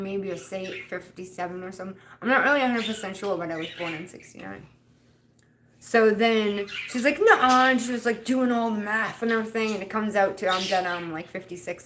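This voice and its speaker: A woman's voice: medium pitch, noisy background. A woman in her fifties chatting casually on a livestream, rambling and laughing often. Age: 50s. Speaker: livestream host.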